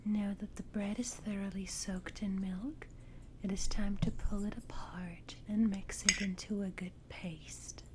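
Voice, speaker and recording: A woman playing a devoted, gentle Regency lady's maid in a whispered ASMR roleplay, speaking in a hushed, formal, deferential manner. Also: medium pitch, fairly even intonation, measured pace, noisy background, natural voice. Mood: reverent, attentive. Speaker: ASMR performer. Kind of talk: whispered ASMR roleplay, scripted period narration.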